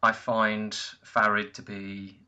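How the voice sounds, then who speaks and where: A man on a panel giving blunt, critical opinions on songs in a measured, deliberate way. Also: fairly low pitch, monotone, sharp tone, lo-fi sound. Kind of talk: opinionated music commentary.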